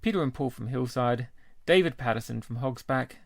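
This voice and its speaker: A man co-hosting a podcast who talks casually and reads through lists in a brisk, plain way. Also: mid-range voice, creaky, dry recording, clean studio sound. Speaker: podcast co-host. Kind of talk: casual chat.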